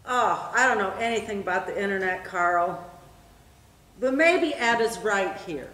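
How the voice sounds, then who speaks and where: A woman's voice: mid-range voice, lively intonation, a little slow, a bit bright. A woman playing a wry, world-weary, straight-talking bartender in a stage comedy, dry and folksy. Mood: wry, coaxing. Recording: echoey room with background noise.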